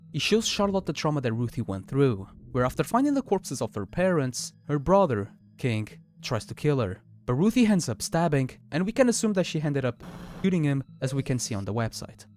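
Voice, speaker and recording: Man narrating with widely varied pitch. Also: very animated, fairly quick, darkish tone. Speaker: video essay narrator. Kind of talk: scripted explanatory narration.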